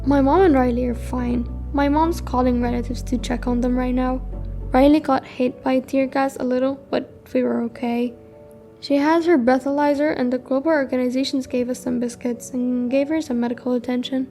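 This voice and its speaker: A woman's voice: sits high, fairly even intonation, moderate pace, dark tone, soft background music. A woman playing a guilt-ridden but resolute teenage war refugee in an amateur radio drama. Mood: calm, recounting.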